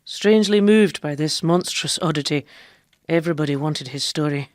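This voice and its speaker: A woman's voice: deep voice, moderate pace, lightly acted. A woman acting in a period radio drama as a pompous, boastful impostor who passes herself off as a male doctor. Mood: shocked, indignant.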